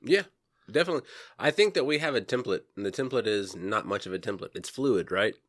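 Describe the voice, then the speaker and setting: A man co-hosting a podcast in casual chat, dropping crude jokes in a deadpan way. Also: on the low side, fairly quick, dry recording, studio quality. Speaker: podcast co-host.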